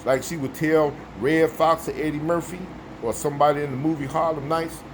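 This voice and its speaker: Deep baritone guy ranting emphatically, repeats himself, hum in the background. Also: fairly even intonation, fairly quick, low-quality recording. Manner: emphatic, preachy, repetitive. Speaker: vlogger. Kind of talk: opinion monologue to camera.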